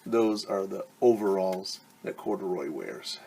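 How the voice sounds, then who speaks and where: A man teacher reading a picture book aloud to children, animated and stopping to explain words and ask questions. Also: deep voice, a little slow, vocal fry, slight background noise. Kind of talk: children's story read-aloud.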